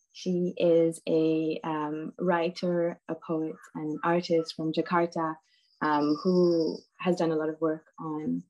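A woman's voice: low voice, a little mellow, muffled recording. A woman moderating an online session, introducing the speaker with hesitant ums. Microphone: laptop webcam on video call.

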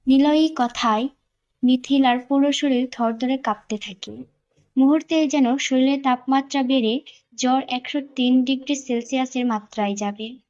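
A woman's voice: high voice, fairly even intonation, normal speed, darkish tone, highs cut off. A woman narrator reading a scripted romantic story.

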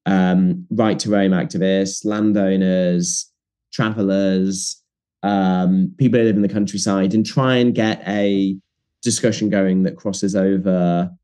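A man's voice: sits low, fairly even intonation, measured pace, dark tone, smooth. A man, a documentary producer, talking as a guest in an earnest, thoughtful way with some ums. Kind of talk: casual interview chat.

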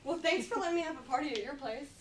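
A woman's voice: sits high, animated, very fast. A woman acting at a table read, reading film dialogue aloud. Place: meeting room with a conference table. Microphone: distant camera microphone. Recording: roomy, distant camera mic, background noise.

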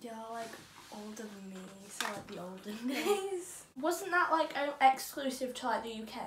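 A woman's voice: on the high side, moderate pace. A young woman chatting casually as a vlog co-host, with brief, dry replies. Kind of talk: casual chat. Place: small home bedroom, indoors. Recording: roomy, distant mic, audible noise.

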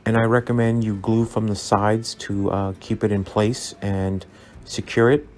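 A man narrating a scale model build as a relaxed tutorial, easygoing and full of ums and uhs as he recommends each step. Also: on the low side, a little slow. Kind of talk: relaxed instructional voice-over. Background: soft background music.

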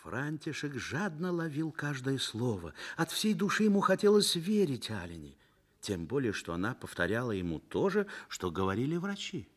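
A man narrating a radio drama in an expressive literary style. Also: medium pitch, normal speed. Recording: music bed behind narration. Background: music.

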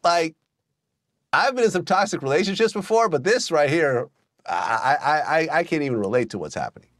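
A man's voice: a little high, animated, fairly quick, fairly bright tone, no echo. A man in his thirties in a small studio, talking through celebrity gossip in a matter-of-fact way. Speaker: podcast host. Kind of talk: gossip commentary in a podcast.

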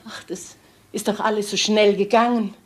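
A woman's voice: medium pitch, steady tone, normal speed, echoey room, natural voice. A woman playing an indignant, lamenting landlady in a crime drama, plaintive and exclamatory. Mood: shaken.

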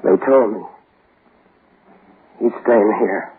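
An actor playing a vengeful, desperate escaped convict in an old-time radio thriller, intense, halting and menacing. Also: male voice, on the low side. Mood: haunted, halting. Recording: noisy, tinny old radio recording.

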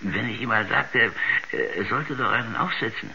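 A rambling village shopkeeper in a radio play, hesitant and stammering. His voice is very sharp and forceful with a gravelly creak. There is some hiss on the recording. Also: fairly low pitch, lively intonation, moderate pace, heavily acted. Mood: chatty, puzzled. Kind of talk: 1970s science-fiction radio drama acting. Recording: dull, noisy 1970s radio recording.

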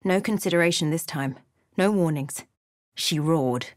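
A woman narrating a fantasy game as a solemn storyteller, in a measured, dramatic manner. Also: low voice, dry recording, clean studio sound.

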